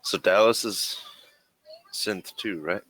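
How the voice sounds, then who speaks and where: A man's voice: on the low side, slow pace, a bit bright, slight background noise. A man playing a weary, wary spaceship crewman in a tabletop game, terse and questioning.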